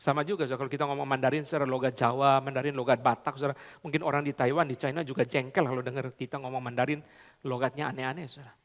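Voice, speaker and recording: A man preaching a sermon, swinging between loud high emphasis and low quiet asides, often slipping into English and casual slang. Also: little pitch movement, rapid-fire, long reverb tail. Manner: repeats "saudara" constantly, mixes in English phrases and colloquial Jakarta slang. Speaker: pastor.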